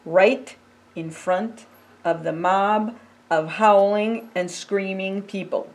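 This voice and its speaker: An older woman, a pastor, reading a children's picture book aloud in a deliberate, expressive storytelling manner. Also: medium pitch. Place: indoors by a fireplace.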